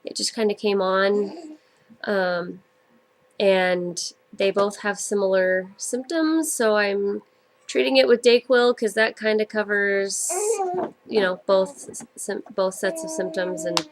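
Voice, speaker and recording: A woman's voice: mid-range voice, slow pace. Stuffy-nosed woman rambling podcast host. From United States. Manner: rambling, many ums and self-corrections. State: stuffed up with a cold. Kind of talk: casual solo podcast chat.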